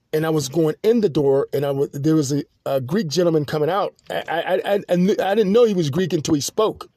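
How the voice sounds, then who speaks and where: A man hosting a podcast, telling a long personal story in a halting, rambling monologue full of restarts and fillers. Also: fairly high pitch, warm tone, no room sound, clean studio sound. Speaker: podcast host.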